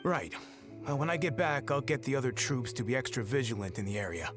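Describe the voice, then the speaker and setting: An actor playing an earnest, dutiful patrol guard who explains things carefully in a video game. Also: male voice, mid-range voice, a little fast, over music, natural voice. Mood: weary, concerned. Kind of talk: video game character acting.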